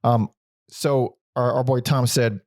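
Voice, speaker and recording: A man's voice: medium pitch, steady tone, slow pace, darkish tone, dry recording. Male podcast host, casual and rambling, curses freely, dry close mic. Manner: rambling, swears casually, laughs often. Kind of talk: casual music discussion.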